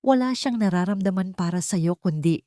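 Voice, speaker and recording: Woman voicing a calm, wounded Filipino wife. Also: on the low side, dry recording, clean studio sound, lightly acted. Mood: resigned. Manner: calm, bitter, measured. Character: wounded, coldly resolute young wife.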